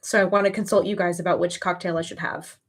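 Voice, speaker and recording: A woman's voice: fairly low pitch, fairly even intonation, fast. A woman in her early thirties chatting casually as a livestream host, with an emphatic, gushing way of talking. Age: early 30s.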